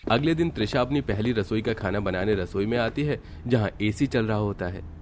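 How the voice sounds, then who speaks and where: A man tells an animated story as its narrator. His voice sits fairly low and follows a written script. There is faint noise in the background. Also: normal speed.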